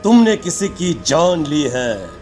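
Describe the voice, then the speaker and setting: An actor voicing a stern, ominous tantric holy man in a horror cartoon, accusing and deliberate. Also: medium pitch, sing-song, darkish tone, soft background music.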